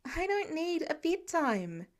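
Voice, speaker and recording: A woman's voice: extremely high pitch, sing-song, takes it slowly, pitched above the performer's own voice, full character voice. A woman reader takes on a teenage boy of fifteen in a story. He is stubborn and a bit grumpy, brushing off concern with defiance. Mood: grumpy insistent. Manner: grumbling, defiant.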